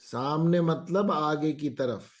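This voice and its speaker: Very slow-paced male meditation guide. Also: on the high side. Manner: calm, instructing in short phrases with long pauses. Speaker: meditation instructor.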